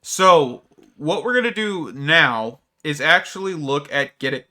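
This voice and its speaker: A man's voice: on the high side, expressive, unhurried, sharp tone. A man giving casual let's-play commentary, rambling and thinking aloud with many fillers, his voice a little strained. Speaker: gaming YouTuber.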